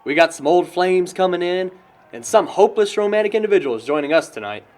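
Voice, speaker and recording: A man's voice: sits high, lively intonation, a little fast, darkish tone. A young American man playing a wry, chatty, gossipy friend with a sarcastic streak in an audio drama. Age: young adult. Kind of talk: conversational audio drama acting.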